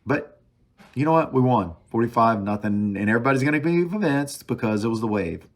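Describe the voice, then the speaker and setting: A man's voice: mid-range voice, animated, fairly quick. A man hosting a sports talk show alone, casually reviewing a game as an opinionated fan, thinking aloud and hammering short phrases by repeating them. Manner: emphatic repetition of short phrases. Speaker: sports podcast host.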